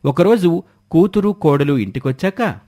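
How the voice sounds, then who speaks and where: Guy narrating a scripted moral story, storyteller style, voice a bit on the high side. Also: expressive, moderate pace, dark tone.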